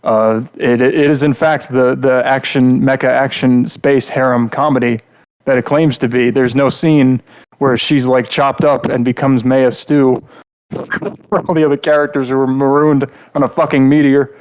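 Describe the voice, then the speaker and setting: A man chatting casually on a podcast, wry and halting, with some swearing. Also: mid-range voice, fairly even intonation, smooth, rough recording. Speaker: podcast co-host. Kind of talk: casual chat.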